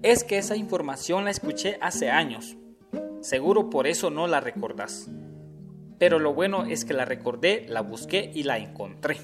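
A man's voice: fairly high pitch, expressive, moderate pace, lightly acted. An actor playing an earnest male Honduran activist in a radio drama, chatting in a colloquial way. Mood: earnest, informative. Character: Juancho, man activist chatting earnestly with a comrade. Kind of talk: scripted radio drama dialogue, conversational. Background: plucked guitar music.

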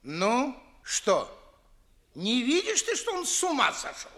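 A man's voice: extremely high pitch, huge pitch swings, takes it slowly, pushed and bright, exaggerated voice. An actor playing a pompous, indignant older father in a 1950s audio play, scolding theatrically in comic verse. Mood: flustered outrage.

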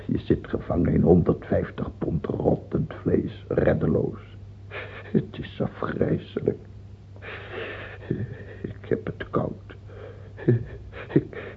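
Volatile, gravelly-voiced tyrant king. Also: low-pitched, exaggerated voice. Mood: anguished, brooding. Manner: volatile, swinging from murmured brooding to barked scorn. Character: ailing, fearful, tyrannical king of France, scornful and grandiose. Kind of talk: theatrical radio drama acting. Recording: dull, lo-fi old radio recording.

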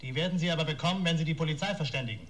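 An actor playing a menacing, bullying blackmailer in a German radio crime drama, barking curt orders and insults. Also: male voice, a little high, rapid-fire, fairly bright tone, lightly acted.

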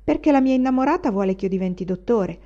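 A woman voicing an eager, excitable young male student in an audiobook. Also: on the high side, fast, voice pushed up. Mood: boastful. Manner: exuberant, boastful. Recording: dull lo-fi recording.

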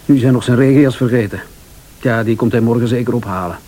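An actor playing a scheming, impatient, smooth-talking husband in a radio thriller, with a Flemish accent. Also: male voice, medium pitch, fast, dark tone, lightly acted. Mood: calm, coaxing. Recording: radio drama with clear tape hiss.

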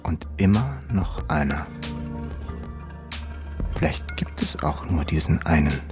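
A sombre male narration for an eerie radio drama. The voice is very deep and moves very slowly, in sparse, brooding phrases. Music plays clearly underneath. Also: big pitch swings, heavily acted.

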